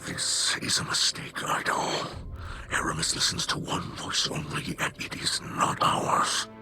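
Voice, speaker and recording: A man playing a weary, stern alien elder and protective leader in a video game, grave and terse, with a breathy creature rasp. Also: unhurried, natural voice. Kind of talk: dramatic video game cutscene acting. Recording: loud music under the voice.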